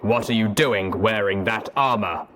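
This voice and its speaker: A man voicing a stern, gruff male soldier pony in armor, stiff, authoritative and demanding. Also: on the low side, measured pace, background noise, natural voice.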